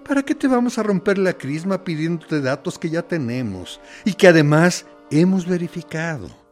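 An actor in a radio play voicing a military interrogator who plays good cop, deliberate, condescending and mock-friendly, with menace in his theatrical pauses. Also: fairly high pitch, big pitch swings, soft background music. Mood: veiled threat. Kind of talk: dramatic radio play monologue.

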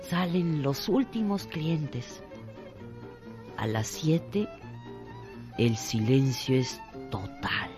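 A narrator reading a radio drama in a measured, evocative literary style. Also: medium pitch, very animated. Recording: music under the voice. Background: music.

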